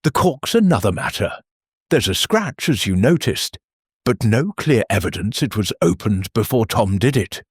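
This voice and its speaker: Brief: wry matter-of-fact inspector, read by a man, husky, dry close sound. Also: fairly low pitch, very animated, moderate pace, dry recording.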